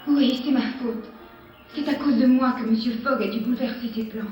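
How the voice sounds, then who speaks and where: A woman playing a young princess in a French-dubbed cartoon, gentle, apologetic, anxious and polite. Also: a little high, steady tone, normal speed, distant mic, lightly acted. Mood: remorseful. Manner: soft-hearted, apologetic, formal. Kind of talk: French cartoon dub acting. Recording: very echoey cartoon soundtrack with loud music.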